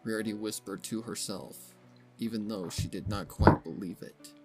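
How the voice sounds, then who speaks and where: Female story narrator, extremely low register, dramatic scripted read, music bed. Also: normal speed, rough recording. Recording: music behind the voice.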